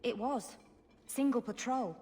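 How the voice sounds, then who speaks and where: A woman's voice: a little high, measured pace, noisy background, lightly acted. A woman playing a grateful city guard in a video game, matter-of-fact and friendly. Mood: grateful, plain. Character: grateful, plain-spoken female city guard.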